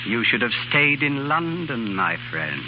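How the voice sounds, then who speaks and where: An actor playing a suave murderous professor and spy with polite menace, in a noisy 1930s radio drama. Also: male voice, mid-range voice, sing-song, a little slow. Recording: noisy, muffled 1930s radio broadcast recording.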